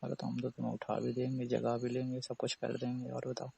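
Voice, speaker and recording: A man's voice: mid-range voice, completely flat delivery, a little fast, creaky, darkish tone, breathy edge, no room sound. A young man in an intimate, casual phone chat, teasing and persistently asking questions. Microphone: phone call.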